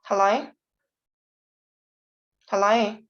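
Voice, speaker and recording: Slow, patient woman teacher on a call. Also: medium pitch, a bit bright. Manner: patient, polite, hesitant with fillers. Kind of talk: online class, calling on students. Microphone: video call. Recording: dull lo-fi video call audio.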